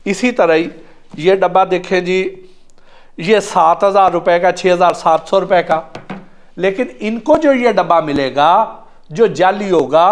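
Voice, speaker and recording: Casting: middle-aged man, high voice, patient step-by-step explainer, seated indoors on a lapel mic. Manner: earnest, explaining step by step, repeating numbers to check. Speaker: automotive vlogger. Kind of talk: explanatory product demonstration. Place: ornate indoor room, seated at a table.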